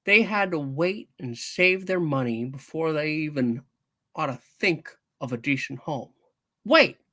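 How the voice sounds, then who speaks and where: A man's voice: sits high, sharp tone, heavily acted. A man playing an earnest, indignant young man who stammers through an impassioned defence in an amateur radio-play reading.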